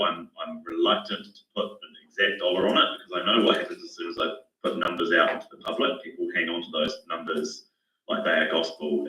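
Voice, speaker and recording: A man recovering from a cold, his voice hoarse, reporting cautiously to a council meeting with many restarts. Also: low-pitched, background noise. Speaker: financial modelling consultant. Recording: roomy, echoey, distant from the mic.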